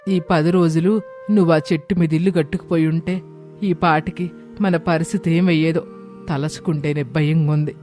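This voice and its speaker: An emotional older woman performed by a man with a high voice. This grandmother is affectionate and humbled, speaking from the heart as in a storybook drama. Soft music sits behind the words. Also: steady tone, normal speed, close to an everyday voice. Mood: humbled, heartfelt. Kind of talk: dramatised Telugu moral story.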